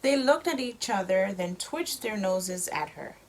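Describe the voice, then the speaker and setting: A woman reading a storybook to a young child as a mother, with expressive delivery and simple explanations. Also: medium pitch, unhurried, poor audio.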